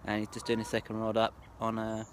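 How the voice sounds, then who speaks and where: A young man with a slightly low voice gives brisk, matter-of-fact commentary. He is filmed outdoors beside a lake on a phone held at arm's length, with clear outdoor noise and honking birds behind him. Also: completely flat delivery. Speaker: angler vlogger. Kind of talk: casual outdoor vlog. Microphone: phone camera at arm's length.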